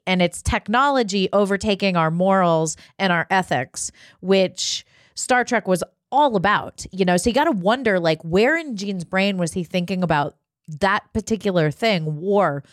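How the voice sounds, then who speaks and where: A woman's voice: medium pitch, moderate pace, dry recording, studio quality. A woman co-hosting a podcast, talking in a casual, conversational way. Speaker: podcast guest co-host.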